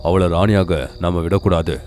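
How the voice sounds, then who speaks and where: A man's voice: low voice, fairly even intonation, unhurried, darkish tone, soft background music. A man voicing a scheming, greedy male goat in a cartoon fable. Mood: scheming, gleeful.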